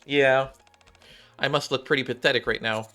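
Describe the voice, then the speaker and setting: Man voicing an earnest, nervous gamer girl, raised pitch. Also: fairly even intonation, bright, forceful tone, faint music behind, close to an everyday voice. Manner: halting, heartfelt, repeats and restarts. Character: earnest, nervous young android gamer girl, shy but devoted.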